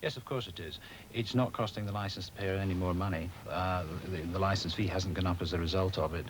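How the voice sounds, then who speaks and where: Low-pitched man over background noise. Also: steady tone, fairly quick. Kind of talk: formal interview answer.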